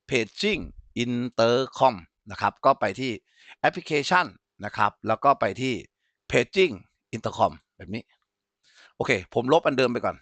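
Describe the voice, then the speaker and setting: Male instructor for an informal tech tutorial, mid-pitched, dry close sound, explanatory and checking in with learners. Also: fairly bright tone, no echo. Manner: explanatory, frequently checks that listeners follow. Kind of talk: informal software tutorial with live demonstration.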